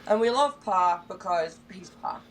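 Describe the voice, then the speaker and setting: A woman's voice: on the low side, expressive, measured pace, a little hiss or hum, rough recording. A woman hosting a casual podcast, bubbly and enthusiastic, casually swearing as she chats. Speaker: podcast host.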